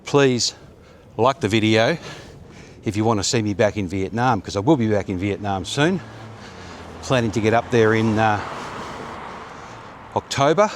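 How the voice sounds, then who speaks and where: A man's voice: on the low side, slight background noise. Rambling middle-aged man walking outdoors. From Australia. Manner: rambling, chatty, with many fillers. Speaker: vlogger. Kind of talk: walk-and-talk vlog. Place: quiet suburban street outdoors, sunny. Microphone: phone or action camera held at arm's length.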